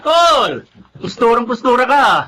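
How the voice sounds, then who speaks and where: An actor playing a cheerful, easygoing, admiring older brother in a Filipino radio drama. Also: male voice, extremely high pitch, sing-song, unhurried, sharp tone, a little hiss or hum, full character voice. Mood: admiring, teasing.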